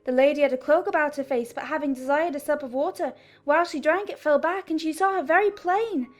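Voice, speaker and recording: A woman's voice: sits high, brisk. A woman giving a dramatized first-person narration from a classic novel.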